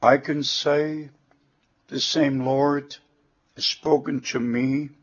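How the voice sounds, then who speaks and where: A man with a German accent, a native German speaker, preaching a sermon in slow, measured, deliberate short phrases. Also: medium pitch, steady tone. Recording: dull lo-fi sermon recording.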